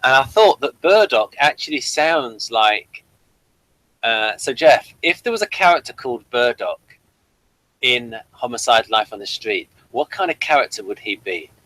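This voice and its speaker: Bright-voiced man rambling through a review. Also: mid-range voice, lively intonation, no room sound, rough recording. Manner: rambling, halting delivery. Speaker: host of a TV review show. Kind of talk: unscripted casual review chat.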